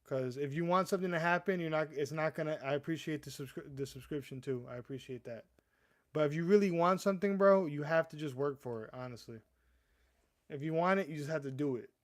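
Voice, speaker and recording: A young man hosts a casual live stream, talking loosely to his viewers in a slightly high voice. He rambles, stammers, and restarts his sentences often, calling everyone "bro.". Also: brisk. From US East Coast. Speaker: livestream host.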